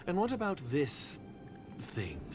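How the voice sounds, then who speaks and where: A man playing a wary, secretive conspirator in a video game, speaking in a measured, suspicious and condescending way with breathy asides. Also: high voice, wildly swooping pitch, over loud music, full character voice. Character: wary, secretive male conspirator, curt foreign agent. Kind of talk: video game villain voice acting.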